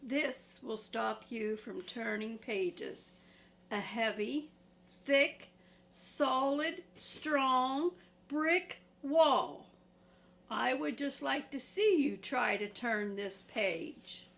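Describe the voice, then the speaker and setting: An older woman voicing a frantic, fearful little male monster from a picture book, with emphatic, drawn-out words. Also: on the high side, creaky, a bit bright, full character voice. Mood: defiant. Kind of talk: expressive picture-book read-aloud.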